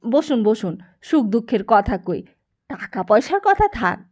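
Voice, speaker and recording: A woman's voice: a little high, sing-song, measured pace, darkish tone, breathy edge, heavily acted. A woman voicing a humble, soothing and mysterious male shopkeeper in a storybook reading, politely evasive. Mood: generous. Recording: dull lo-fi narration recording.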